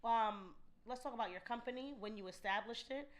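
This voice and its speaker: Loud-voiced woman hosting a podcast. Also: a little high, a little fast. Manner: says she has a loud voice and sits back from the mic; talkative, laughs easily. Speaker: podcast host. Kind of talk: casual podcast chat.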